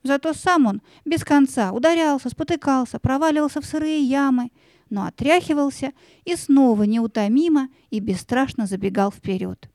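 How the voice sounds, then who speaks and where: A woman narrating a fairy-tale audio play in an expressive, theatrical storytelling manner. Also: high-pitched, moderate pace, dry recording, studio quality.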